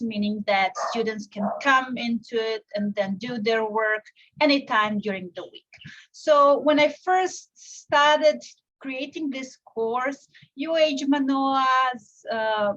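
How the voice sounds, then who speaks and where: A young woman from Serbia giving a live online software tutorial, explaining step by step with frequent fillers and restarts. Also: on the high side, muffled recording. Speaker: teacher presenting a software tutorial. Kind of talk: live tutorial with screen share.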